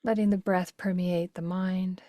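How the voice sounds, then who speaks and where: A woman with a fairly low voice guides a meditation in a very dry recording. Her delivery is gentle and soothing, taking its time. Phrase endings soften into breath. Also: level tone, measured pace, no room sound.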